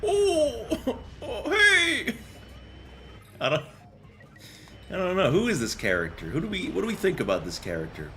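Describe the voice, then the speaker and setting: A man's voice: mid-range voice, wildly swooping pitch, quick pace, fairly bright tone, faint music behind. A young man doing casual, slangy game commentary and chatting with viewers in a joking, rambling way. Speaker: game streamer. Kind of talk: casual gameplay commentary and chat.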